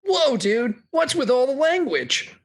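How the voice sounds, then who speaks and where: Slacker pal acted by a woman, laid-back, upbeat, husky fry, lively swooping pitch, drawled slang, comic radio drama. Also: measured pace, exaggerated voice. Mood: surprised. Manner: drawling slangy dude talk.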